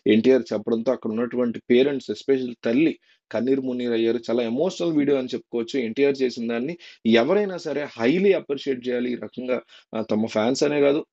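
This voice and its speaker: A man's voice: medium pitch, fast, dark tone, muffled recording. A man giving informal, earnest and opinionated spoken news commentary for a video.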